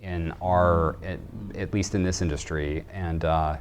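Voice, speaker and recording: Male industry panelist, very low voice, hesitant, light background noise. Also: steady tone, measured pace. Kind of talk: panel discussion.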